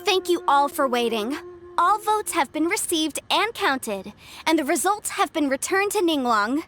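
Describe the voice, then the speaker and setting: A woman's voice: sits high, big pitch swings, a little slow, fairly bright tone, soft background music, full character voice. A woman playing a young, upbeat contest officiator in a video game, speaking in formal, ceremonious announcements.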